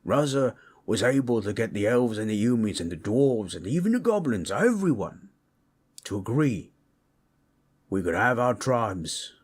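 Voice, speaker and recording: A man voicing a gentle, proud orc warrior in an intimate audio roleplay, telling tales in a folksy, rough-hewn, husky way. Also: fairly low pitch, big pitch swings, measured pace, heavily acted. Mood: earnest, resolute. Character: gentle, proud male orc warrior storyteller, affectionate, teasing. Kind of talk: intimate comfort roleplay storytelling.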